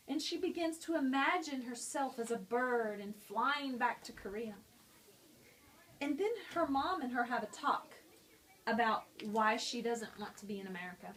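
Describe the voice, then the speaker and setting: Friendly woman teacher, a touch high-pitched, walking students through a reading lesson. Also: slight background noise, poor audio. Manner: friendly, explanatory, talking to students. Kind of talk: explaining a lesson on a picture book.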